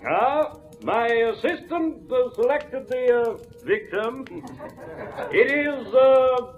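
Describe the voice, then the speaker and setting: An actor playing a grand, commanding masked mind reader giving stage patter in a 1940s radio drama, on a muffled old recording. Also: male voice, very high-pitched, lively intonation, extremely slow, soft background music, heavily acted.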